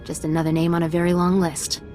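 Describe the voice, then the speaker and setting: A woman's voice: on the low side, little pitch movement, quick pace, darkish tone, soft background music, natural voice. An actress voicing a cold, determined, vengeful woman in a video game. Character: vengeful woman hunting her sister's killer, cold and determined.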